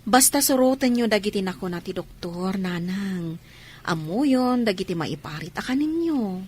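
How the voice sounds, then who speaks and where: A woman playing a dutiful, aggrieved grown daughter in a radio drama, earnest and husky, with a Filipino accent. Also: medium pitch, expressive, moderate pace, a little mellow. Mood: caring. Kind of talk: Ilocano radio drama acting.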